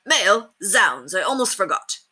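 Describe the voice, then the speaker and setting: A woman's voice: medium pitch, very animated, unhurried, bright, forceful tone, breathy edge, full character voice. Woman doing a breezy, blustering lord. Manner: breezy, distracted, tags sentences with what and eh. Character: blustering, offhand upper-class husband, a lord. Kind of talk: storybook character voice read aloud.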